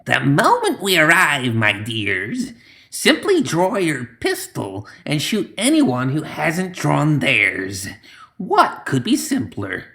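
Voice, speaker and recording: A man voicing a scheming, commanding old witch woman in a storybook reading, with a drawn-out theatrical delivery. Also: fairly high pitch, huge pitch swings, sharp tone, pitched above the performer's own voice, heavily acted. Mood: coolly instructing.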